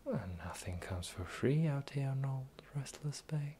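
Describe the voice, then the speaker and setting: A performer voicing a sly, teasing pirate captain in a slow, breathy, whispered fantasy ASMR roleplay. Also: medium pitch, expressive, warm tone, a little hiss or hum, natural voice. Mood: sly, bargaining. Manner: teasing, slow, drawling, theatrical.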